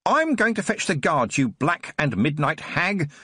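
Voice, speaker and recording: A man's voice: a little high, very animated, unhurried, bright, forceful tone, slightly rough, dry recording, clean studio sound, pitched above the performer's own voice, exaggerated voice. A man voicing an outraged female nurse who screams indignant insults. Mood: furious. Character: shrill, outraged middle-aged female nurse.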